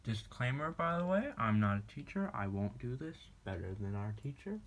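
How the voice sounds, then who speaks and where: A man's voice: mid-range voice, expressive, a little hiss or hum. A teenage boy casually talking a classmate through a math lesson, offhand and joking as he writes on paper. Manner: slow, offhand and jokey, talking while writing and snacking. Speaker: student tutoring a classmate. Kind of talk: casual homemade math walkthrough. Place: indoor room at home.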